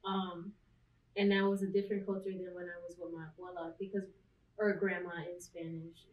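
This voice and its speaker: A woman's voice: on the low side, flat delivery, a little fast, highs cut off. A young woman from the United States, talking thoughtfully and casually with many "um"s and "like"s. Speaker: guest. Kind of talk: casual conversation. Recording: roomy, distant with faint noise.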